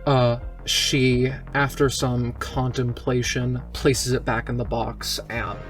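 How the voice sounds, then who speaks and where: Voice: medium pitch, flat delivery, slow pace, faint music behind. A tabletop game player improvising narration of their own character in an informal, tentative way.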